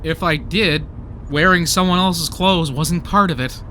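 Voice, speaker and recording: A man's voice: a little high, measured pace, bright, forceful tone, slight background noise. A man acting in a radio play as a breezy, impulsive, good-natured driver with casual, chatty talk. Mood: easygoing, upbeat.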